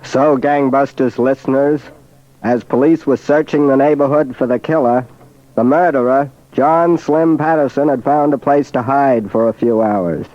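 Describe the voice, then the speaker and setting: A man narrating a crime drama in the formal, deliberate style of 1940s radio, on an old recording. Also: mid-range voice, dark tone. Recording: dull vintage radio recording.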